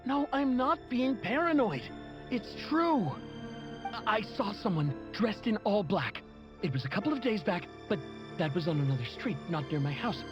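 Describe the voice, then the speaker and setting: A woman's voice: mid-range voice, sing-song, normal speed, music in the background, natural voice. A woman voicing a frightened, pleading witness in a video game, anxious and urgent on a muffled recording. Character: frightened, pleading woman insisting she is being watched.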